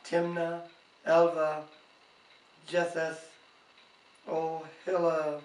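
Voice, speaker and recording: A man reading scripture aloud in a halting way, stumbling now and then, recorded in a roomy space away from the microphone. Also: fairly high pitch, completely flat delivery, a little mellow. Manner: halting, stumbling over names. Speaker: Bible reader. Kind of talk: reading scripture aloud with brief informal comments. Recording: roomy, distant home microphone.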